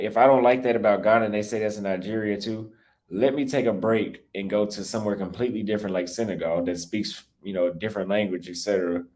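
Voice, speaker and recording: A young American man with a slightly low voice, talking off the cuff on a livestream about his travels. He rambles, restarts his sentences and gets defensive, and his voice sounds a little cracked and worn. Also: darkish tone. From United States. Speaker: travel YouTuber live-streaming. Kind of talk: casual livestream talk reading super chats.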